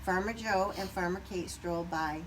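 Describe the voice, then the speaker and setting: A woman reading a children's story aloud expressively, pausing at times to put questions to young listeners. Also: lowish voice, distant mic, a little hiss or hum, low-quality recording. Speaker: storybook reader.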